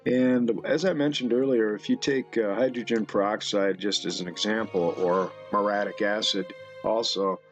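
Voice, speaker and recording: A middle-aged man giving rambling off-the-cuff commentary in a halting, musing way, as if talking to himself at his computer. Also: fairly low pitch, fairly even intonation, faint music behind. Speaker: video host. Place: indoor room at a computer.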